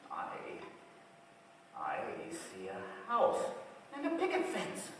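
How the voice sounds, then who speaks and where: A man's voice: high-pitched, huge pitch swings, a bit bright, far from the mic, heavily acted. A man playing a bumbling, kindly fortune-teller in a school stage play, hesitant and theatrical, recorded from afar in an echoey, noisy hall. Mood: mysterious, fussy. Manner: hesitant, theatrical, drawn-out phrases. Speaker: student stage actor.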